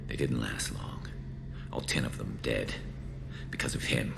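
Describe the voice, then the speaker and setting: Very deep gravelly vengeful alien soldier. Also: male voice, measured pace, in a whisper, heavily acted. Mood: grim, brooding. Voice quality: gravelly, low. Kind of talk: video game dramatic dialogue.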